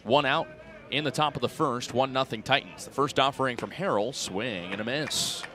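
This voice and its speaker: A man in his twenties calling baseball play-by-play for radio in crisp, clipped phrases that lift on the action. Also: medium pitch, moderate pace, sharp tone. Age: 20s. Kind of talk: live radio sports commentary. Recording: outdoor ballpark crowd behind voice. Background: ballpark crowd.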